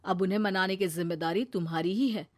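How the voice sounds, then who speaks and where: A performer voicing a calm, supportive young husband in an animated moral story, soft and reassuring. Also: mid-range voice, fast talker, pitched below the performer's own voice, close to an everyday voice.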